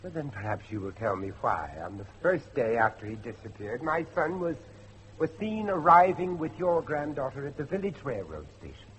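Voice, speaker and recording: A middle-aged man acting a determined father and judge in old-fashioned radio drama style, with a dramatic, deliberate delivery. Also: mid-range voice, very animated, fairly bright tone, slight background noise, rough recording. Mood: firm, probing. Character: grave, determined father, a judge seeking his missing son.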